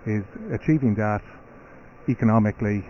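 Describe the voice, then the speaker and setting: A man's voice: on the low side, fairly even intonation, moderate pace. Irish guy middle-aged, muffled mic, faint hum behind, keeps saying you know. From Ireland. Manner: repeats words, many "you know" fillers. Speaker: company CEO guest. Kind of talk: radio interview.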